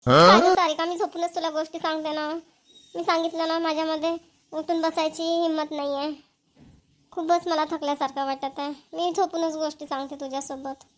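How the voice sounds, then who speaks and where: A woman performs an excitable young cartoon woman. She chats in a familiar, exclamatory village style, like a close sister in a homely comedy. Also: sits high, a little fast. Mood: tired, grumbling. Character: chatty, excitable young Marathi women, two close sisters. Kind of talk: Marathi cartoon comedy dialogue.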